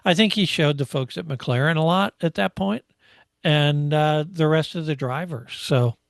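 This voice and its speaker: A man's voice: a little high, normal speed, vocal fry, no room sound, clean studio sound. A man co-hosting a sports podcast, recapping events in a casual, conversational way with tag questions. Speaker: motorsport podcast co-host. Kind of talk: casual race recap discussion.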